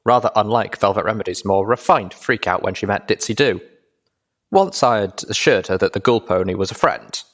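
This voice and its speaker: Low-voiced man narrating a story. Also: a bit bright. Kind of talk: scripted first-person story narration.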